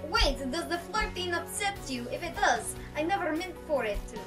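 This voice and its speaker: A woman's voice: very high voice, animated, normal speed, distant mic, over music. A young woman bantering casually and reading game dialogue aloud in a joking, irreverent way. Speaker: let's play co-host.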